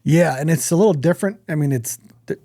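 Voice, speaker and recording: A man's voice: on the high side, fast talker, dark tone, no room sound, studio quality. A man co-hosting a podcast, chatting casually in an informal, rambling way. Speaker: podcast co-host.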